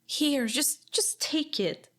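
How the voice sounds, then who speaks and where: A woman's voice: high-pitched, takes it slowly, a bit bright. A woman playing an eccentric, curious tech-priest scholar, affectionate and a little nervous, in a sci-fi audio drama. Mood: nervous pleading.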